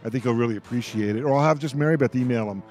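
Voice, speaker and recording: A man hosting a radio roundtable, talking in a casual, rambling fan-discussion style and often halting to repeat his words. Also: mid-range voice, a little mellow, soft background music.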